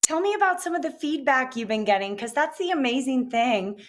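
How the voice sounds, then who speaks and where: Higher-pitched woman co-hosting morning TV. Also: lively intonation, fairly quick. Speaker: TV morning show co-host. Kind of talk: friendly interview chat.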